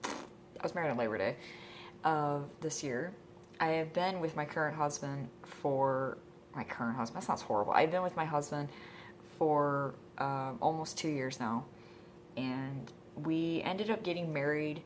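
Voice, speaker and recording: Low-voiced congested woman rambling. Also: measured pace, a little hiss or hum. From Michigan. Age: 20s. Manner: rambling, hesitant, full of ums. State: congested from a cold. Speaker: vlogger. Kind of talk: casual introduction vlog. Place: indoors at home.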